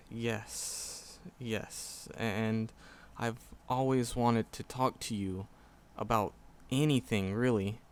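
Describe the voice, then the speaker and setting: Slow, stammering shy professor played by a man. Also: mid-range voice, steady tone, a little hiss or hum. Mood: bashful confession. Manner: nervous, heavy stammering, hesitant, halting. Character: shy, flustered, lovestruck male magic professor. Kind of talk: roleplay audio drama, speaking softly to the listener.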